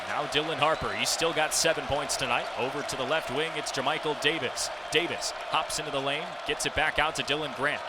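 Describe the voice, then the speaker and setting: Young man, radio sportscaster, higher pitch, live basketball commentary, vivid running description, loud arena noise and bouncing ball. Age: young adult. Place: courtside broadcast in a basketball arena. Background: basketball game ambience, ball bounces.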